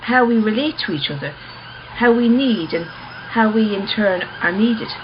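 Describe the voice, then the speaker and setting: An actress playing an earnest, quietly challenging woman in a radio play, speaking in a measured, persistent, questioning manner. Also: medium pitch, animated, highs cut off, noisy background, natural voice. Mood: calm, probing.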